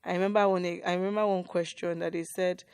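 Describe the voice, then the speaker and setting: Nigerian woman, HR co-host, lowish voice, close dry sound, explains points emphatically in casual conversation. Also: level tone, brisk, a little mellow, clean tone, no echo. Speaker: HR professional and talk co-host.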